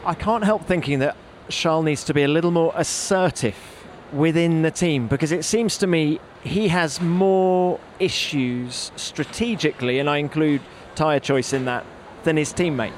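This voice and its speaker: A man's voice: fairly high pitch, normal speed, few pauses. A man hosting a motor racing podcast, chatting and putting questions out in the paddock. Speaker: podcast host and interviewer. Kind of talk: motor racing review chat and interviews. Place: outdoors in a race paddock. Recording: clear outdoor background noise. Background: outdoor urban and vehicle noise.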